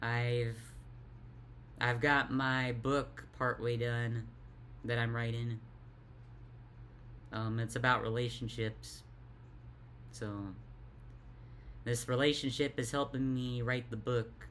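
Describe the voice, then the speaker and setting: Deep-voiced tired young woman, halting. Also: level tone, a bit bright, a little hiss or hum. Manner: halting, stuttering, repeats words. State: tired, worn out. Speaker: vlogger. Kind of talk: casual confessional monologue.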